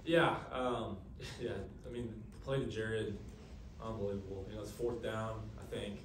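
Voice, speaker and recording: A young man answering questions at a postgame press conference, earnest and quick, with lots of fillers. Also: fairly low pitch, steady tone, noisy background. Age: young adult. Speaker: college quarterback. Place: press room, seated at a table. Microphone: table mic, camera at a distance. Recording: echoey room, from a distance.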